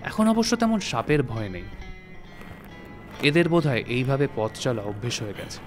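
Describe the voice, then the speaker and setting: Male audiobook narrator, mid-pitched, measured literary storytelling with faint music underneath. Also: very animated. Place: recording studio.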